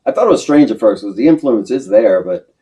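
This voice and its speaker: A man's voice: fairly low pitch, lively intonation, fairly quick, warm tone. An American man from western Pennsylvania talking casually as a band member being interviewed. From Western Pennsylvania, United States. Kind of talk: casual chat.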